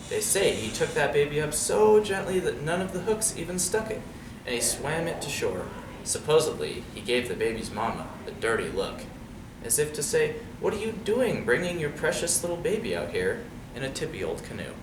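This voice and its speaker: A man reading a picture book aloud to children in an expressive, conversational way, often turning to ask them questions. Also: on the high side. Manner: conversational, checks in with young listeners using questions. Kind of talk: expressive storybook read-aloud with casual asides. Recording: roomy, distant mic with clear background noise.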